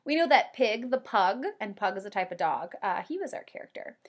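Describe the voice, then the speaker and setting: A woman kindergarten teacher doing an expressive read-aloud for young children, with drawn-out emphasis and simple explanations. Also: medium pitch. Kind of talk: animated children's read-aloud with comprehension questions.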